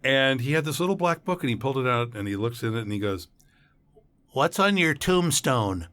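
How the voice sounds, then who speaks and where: A middle-aged man chats as an interview guest. He is an animated storyteller with a medium-pitched voice, slipping into quoted characters as he goes. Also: fast. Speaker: podcast guest, voice actor and former impersonator. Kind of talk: anecdotal interview chat.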